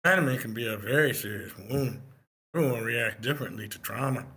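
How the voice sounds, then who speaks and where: A man playing a gentle, probing therapist in his fifties at a screenplay table read, measured and questioning. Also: mid-range voice, slightly rough. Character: probing, gentle male therapist of fifty-six.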